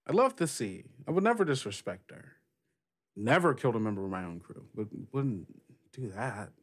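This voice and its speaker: A man voicing a frank, rough-edged female pirate captain in a casual, halting, sweary way for a tabletop game. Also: mid-range voice, big pitch swings. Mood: baffled, indignant.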